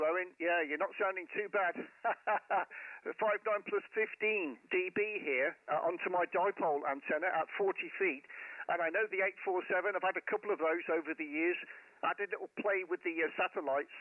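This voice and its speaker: A man's voice: sits high, moderate pace, no room sound, phone-quality sound. A man speaking as an amateur radio operator in a friendly, chatty, courteous way, close on a headset mic. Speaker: amateur radio operator, live stream host. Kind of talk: casual radio contact chat with callsigns in phonetic alphabet.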